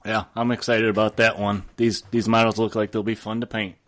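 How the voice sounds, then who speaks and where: A man's voice: fairly low pitch, level tone, brisk. A man chatting casually on a hobby podcast, chiming in informally with his co-panelists. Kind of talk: casual podcast chat, brief agreeing interjections.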